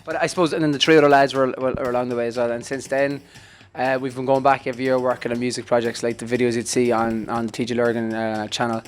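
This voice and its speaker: A man's voice: medium pitch, little pitch movement. A young Irish man in his twenties, a band member chatting casually and joking in an interview, speaking into a handheld mic in a backstage room. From Ireland. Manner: joking, rambling, quick.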